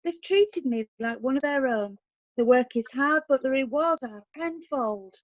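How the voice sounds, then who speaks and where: A woman's voice: high-pitched, expressive, unhurried, no echo, muffled recording. A woman playing a put-upon old-time wife. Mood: earnest. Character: put-upon wife, meek then defiant. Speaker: witness.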